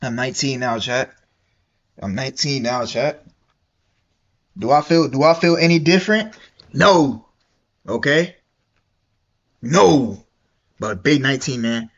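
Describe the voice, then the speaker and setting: A man's voice: medium pitch, lively intonation, unhurried, pauses often, highs cut off. A young man streaming, reacting to a video in casual, slangy, repetitive chat. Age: young adult. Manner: slangy and repetitive, drawling and mumbly.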